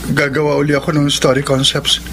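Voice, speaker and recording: High-pitched, stammering, timid young employee. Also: male voice, completely flat delivery, a little fast, fairly bright tone, a little hiss or hum. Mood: nervous, deferential. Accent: Filipino. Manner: stammering, deferential, hesitant. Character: timid, anxious young film story writer, eager to please. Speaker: radio drama actor. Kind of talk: Filipino radio drama acting.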